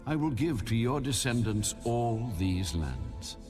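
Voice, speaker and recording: A man voicing a solemn, commanding God in a dramatized audio Bible, speaking slowly and deliberately with a low, weighty delivery. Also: sing-song. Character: God, male, solemn, commanding, promising blessing. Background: music.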